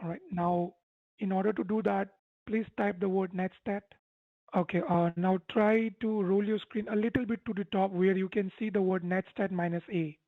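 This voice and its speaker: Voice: mid-range voice, fairly even intonation, moderate pace, dry recording, narrow, tinny audio. Dry-sounding phone support agent. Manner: instructing, repeatedly seeks agreement. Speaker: tech support phone scammer. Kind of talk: scripted-sounding persuasive phone support talk. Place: phone call, music behind.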